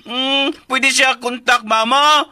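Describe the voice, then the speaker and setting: An actor playing a childlike, simple young man in a radio drama, humming and hesitating before halting phrases. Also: very high voice, fairly even intonation, sharp tone, heavily acted. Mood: childlike, hesitant.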